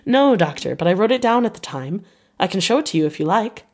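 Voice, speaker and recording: A woman's voice: medium pitch, animated, fast, breathy edge. Woman playing demure, earnest young wife. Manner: demure, courteous. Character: polite, clever young married woman, modest, earnest.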